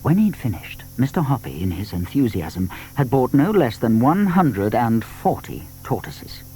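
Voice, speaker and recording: A man with a British accent narrating a scripted children's story in an expressive storytelling manner. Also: mid-range voice, a little slow, slight background noise, poor audio.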